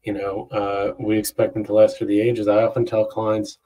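A middle-aged man explaining things conversationally as an interview guest, with a slightly husky voice, over a video call. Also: lowish voice, monotone, normal speed, warm tone, distant mic, poor audio. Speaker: interview guest, company founder. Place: indoor room on a video call. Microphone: wireless earbuds.

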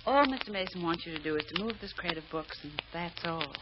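A woman's voice: lowish voice, animated, fast talker, natural voice. A woman playing a composed, coaxing figure who covers up a ruse in an old radio detective drama. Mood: smooth, persuading. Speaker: actor. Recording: dull, noisy old radio recording with clicks.

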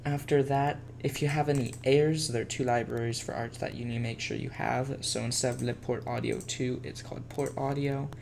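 Gravelly man giving a casual software tutorial. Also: medium pitch, steady tone, a little fast, hardly any pauses. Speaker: tutorial presenter. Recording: faint background noise and keyboard typing.

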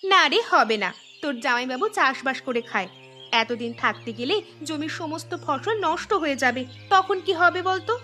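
A woman voicing a young married daughter in a cartoon who speaks quickly and pleads. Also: high-pitched, expressive, pushed and bright, soft background music, voice pushed up. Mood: explaining. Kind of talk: cartoon voice acting.

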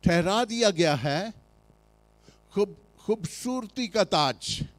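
A male pastor with a Pakistani Urdu accent, preaching a sermon with emphatic rhetorical questions and frequent hesitant pauses. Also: sits high, lively intonation.

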